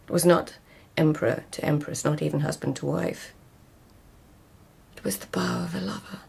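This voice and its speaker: A watchful court lady in a radio play, husky n breathy, fry in it, intimate half-whispered delivery. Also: deep voice, moderate pace, full character voice. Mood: confiding. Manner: intimate, hushed, often breaking into whispered inner narration. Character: devout, passionate lady-in-waiting of an imperial court, watchful and guarded. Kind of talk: literary radio drama acting.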